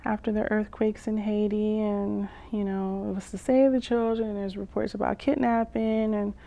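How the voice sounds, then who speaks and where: A woman poet and vocalist performing spoken-word poetry with drawn-out phrases, pauses and hums, some lines half sung. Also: mid-range voice, flat delivery, gravelly, dark tone. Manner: slow, drawn-out delivery with long pauses and hums. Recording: dull lo-fi sound.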